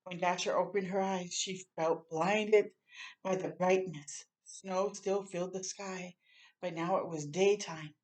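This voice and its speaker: A woman reading a children's story aloud expressively, with animated asides and questions to young listeners. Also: lowish voice, unhurried.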